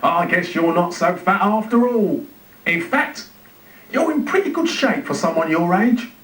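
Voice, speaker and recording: A man's voice: high voice, animated, unhurried, fairly bright tone, voice pushed up. Man voicing a self-important storybook zookeeper, roomy distant sound. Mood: cheery, relieved. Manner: dramatic muttering and exclaiming to himself. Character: gloomy, self-pitying, self-important male zookeeper. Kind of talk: children's storybook reading. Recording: roomy, distant TV speaker playback.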